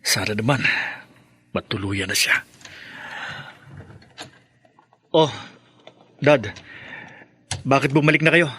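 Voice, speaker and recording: Sharp, husky, spiteful young radio-drama heir. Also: male voice, mid-range voice, expressive, measured pace, exaggerated voice. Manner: scornful, theatrical. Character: bitter, spoiled grown child scorning a step-parent. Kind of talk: radio melodrama acting.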